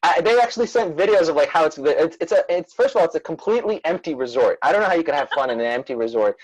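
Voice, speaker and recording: A man's voice: fairly high pitch, animated, rapid-fire, darkish tone, no echo, poor audio. A man from Queens, New York, chatting casually as a musician guest in an interview over a video call. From born in former Soviet Union, raised in Queens, New York.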